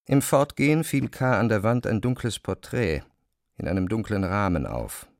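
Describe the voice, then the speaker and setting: Male narrator, literary drama, deep-set voice, gravelly edge, animated intonation, dry studio sound. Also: moderate pace, no room sound.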